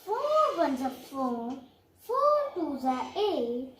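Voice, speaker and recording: A childlike puppet pupil acted by a woman, sulky yet eager. The voice is extremely high and very slow, with a singsong lilt and clear room echo. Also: very animated, a bit bright, slight background noise, full character voice. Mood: eager, reciting. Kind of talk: children's puppet show dialogue. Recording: echoey room.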